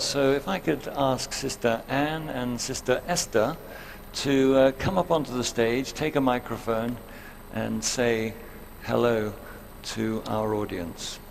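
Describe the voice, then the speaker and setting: A man's voice: medium pitch, slight background noise. A man hosting a live talk show on stage in a big hall, welcoming guests and inviting them up. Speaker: host and moderator. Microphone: handheld microphone.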